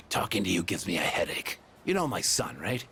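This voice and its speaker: A man's voice: deep voice, big pitch swings, normal speed, bright, forceful tone, soft background music, exaggerated voice. An actor playing a stern, exasperated father in a video game, curt and contemptuous. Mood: scornful. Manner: curt, scornful. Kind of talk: English video game voice acting.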